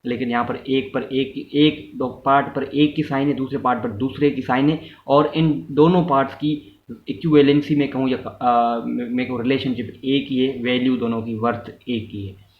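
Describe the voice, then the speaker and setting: A man's voice: mid-range voice, steady tone, moderate pace. A man with a Pakistani accent giving an explanatory law lecture, mixing in English terms and restating each point in a didactic way. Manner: didactic, repeats and rephrases points, checks in with listeners. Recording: muffled, roomy echo, background noise.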